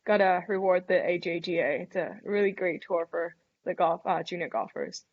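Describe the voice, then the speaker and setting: A woman's voice: fairly low pitch, normal speed, muffled recording. A young woman from Thailand whose first language is Thai, chatting casually in a webinar interview and filling her speech with "like" and "um". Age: young adult. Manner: chatty, many fillers like "like" and "um". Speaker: college golfer guest.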